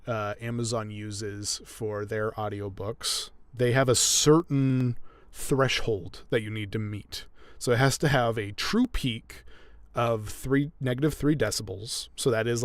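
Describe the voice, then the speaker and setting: A man's voice: medium pitch, no room sound. A young man chatting casually and explaining his audio editing work as he goes. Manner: relaxed, chatty, laughs often, explains as he goes. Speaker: audiobook narrator and editor. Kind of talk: casual chat while editing.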